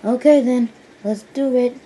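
Earnest, kindly male engine for a children's story, voiced by a woman; unhurried, emphatic, slightly noisy. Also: fairly high pitch, mellow, pitched above the performer's own voice, close to an everyday voice. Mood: cheerful, earnest. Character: earnest, well-meaning male tank engine.

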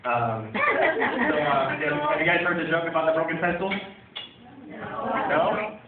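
Brief: young man, best man toast, halting and chuckling, heard from far off on a tinny phone recording in an echoey hall. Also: fairly high pitch, expressive. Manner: halting, many fillers and restarts, chuckles. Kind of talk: wedding toast speech. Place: dim wedding reception hall, indoors. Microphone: handheld mic, filmed by a far phone. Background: crowd of guests.

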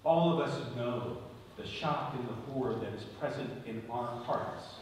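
A man preaching a homily as a bishop, solemn and deliberate, speaking phrase by phrase with emphatic stresses in a large church. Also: mid-range voice. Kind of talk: sermon. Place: large stone-walled church, at the ambo before a congregation. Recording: very echoey church, distant mic, faint noise.

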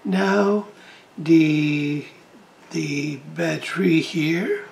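A man narrating a hands-on electronics tutorial in halting, clipped short phrases, with a breathy voice that trails off into whispers. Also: on the high side. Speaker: electronics project presenter.

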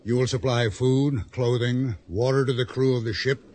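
An actor playing a scheming, self-assured hijacker in a radio drama, coaxing with deliberate, insinuating pauses. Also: male voice, mid-range voice, steady tone, a little mellow, lightly acted. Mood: persuasive, firm.

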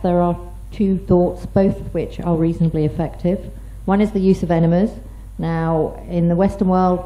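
A woman explaining treatment as a doctor on a medical panel, in a measured way. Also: on the low side, mellow. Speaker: paediatrician panelist. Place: conference hall, seated at panel table. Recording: dull conference microphone recording.

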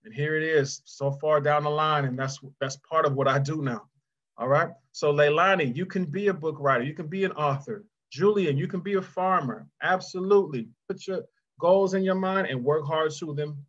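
Middle-aged male children's author, higher-pitched, encouraging and conversational with kids, on a dull-sounding video call. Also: moderate pace, fairly bright tone. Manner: conversational, addresses children directly by name. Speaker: guest children's author and mentor. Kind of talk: encouraging question-and-answer talk to children.

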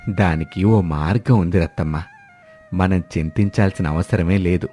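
A man voicing a hungry, mild old village husband in an animated moral story. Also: low voice, moderate pace, soft background music, natural voice. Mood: coaxing, eager. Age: older.

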